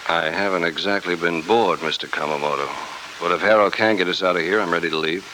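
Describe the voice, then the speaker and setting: Through the heavy noise of an old radio recording comes a very low-pitched American adventurer , played by a male actor. He is tough, wry and unflappable, tossing off dry hard-boiled lines in a 1940s radio drama style. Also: quick pace, natural voice. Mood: guarded. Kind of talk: 1940s radio drama acting and first-person narration.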